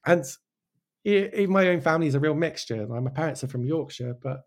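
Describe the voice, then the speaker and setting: A man with an English accent, from Wales, giving casual, reflective interview answers as a show creator, often halting and restarting with real conviction. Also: fairly high pitch, expressive. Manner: halting, self-interrupting, passionate about own work.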